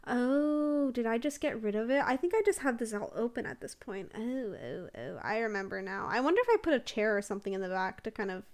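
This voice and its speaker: A woman's voice: fairly high pitch, sing-song, brisk, few pauses. A young woman narrating a casual voiceover over a game build, rambling and giggling between thoughts, her voice a little stuffy from allergies. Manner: rambling, chatty, giggles often, many fillers. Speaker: Sims builder and streamer.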